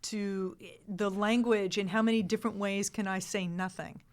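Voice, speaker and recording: A woman talking casually on a podcast panel in a measured, thoughtful way. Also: mid-range voice, fairly even intonation. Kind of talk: casual reflective group chat.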